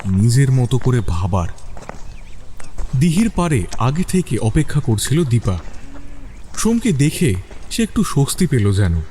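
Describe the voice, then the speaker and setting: A man's voice: mid-range voice, warm tone, slight background noise. A man narrating a scripted mystery story in a dramatic storytelling style.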